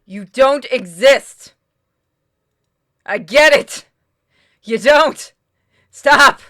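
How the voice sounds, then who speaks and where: A woman's voice: sits high, drawn-out words, bright, forceful tone. A woman giving casual, blunt commentary as she plays through a game. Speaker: game streamer. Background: game music.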